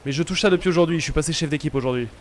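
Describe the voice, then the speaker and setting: A man's voice: on the high side, very fast, slight background noise. A man playing a doctor in a roleplay game, chatting, joking and swearing casually. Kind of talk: in-character roleplay banter, then casual talk to chat.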